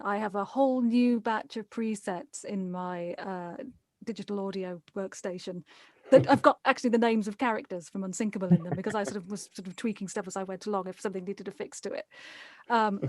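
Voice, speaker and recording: A woman's voice: mid-range voice, no echo, clean studio sound. A woman answering conversationally in an interview as an audio producer.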